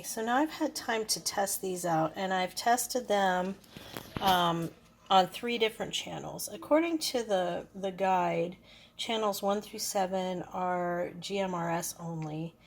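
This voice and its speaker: A woman giving a casual, hands-on product review, explaining things in a hesitant, unscripted way. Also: mid-range voice. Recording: clearly noisy background.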